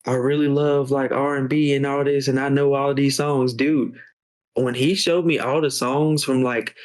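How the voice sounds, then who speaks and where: A man's voice: medium pitch, steady tone, normal speed. A man in his twenties, a musician guest chatting casually on a podcast, laid-back and full of slang. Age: 20s. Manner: laid-back slang, says bro a lot.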